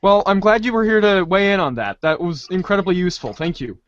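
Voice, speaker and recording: A man's voice: high voice, quick pace, fairly bright tone, no room sound. A man who is a cast reader, chatting casually between parts of a readers' theater show. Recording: dry, dull band-limited audio.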